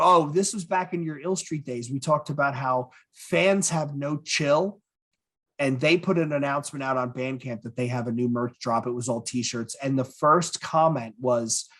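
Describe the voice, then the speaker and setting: A man's voice: mid-range voice, moderate pace. A man co-hosting a podcast in casual, profane, enthusiastic chat about what he is a fan of. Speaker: podcast co-host.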